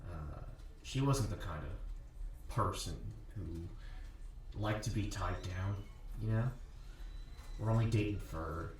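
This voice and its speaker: A man playing a guarded, hurt 24-year-old college athlete in an audio drama interview, speaking casually and haltingly. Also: low-pitched. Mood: hesitant, guarded. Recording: very echoey, distant from the microphone.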